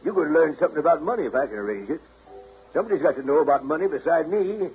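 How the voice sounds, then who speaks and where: An actor playing a gruff, grumbling old grandfather in a blustery, emphatic 1930s radio drama style. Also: on the high side, animated, rapid-fire, close to an everyday voice. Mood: scolding. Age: older. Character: gruff old grandfather, grumbling, practical-minded family provider. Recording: tinny 1930s radio broadcast recording, music behind.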